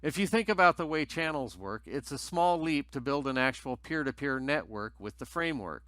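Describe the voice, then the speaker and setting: Bright-voiced man narrating a technical tutorial. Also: mid-range voice, animated, normal speed, no room sound. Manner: methodical, explanatory. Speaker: tutorial presenter.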